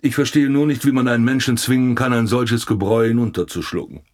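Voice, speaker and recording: Actor as a blunt, sardonic police commissioner. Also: male voice, mid-range voice, fairly even intonation, fast, a little mellow, close to an everyday voice. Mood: puzzled, brooding. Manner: sardonic, colourful. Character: blunt, grumbling, gossipy male police commissioner. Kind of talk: German radio play acting.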